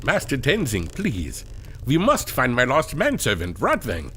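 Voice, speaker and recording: A man playing an anxious, formal gentleman with a German accent and a husky voice in a pulp adventure audio drama. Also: mid-range voice, huge pitch swings, unhurried, exaggerated voice. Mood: pleading.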